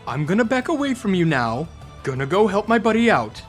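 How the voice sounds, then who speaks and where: A man's voice: high voice, very animated, brisk, soft background music. Man acting a flirty elf bard, swooping delivery. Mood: wry, casual. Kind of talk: fantasy audio drama acting.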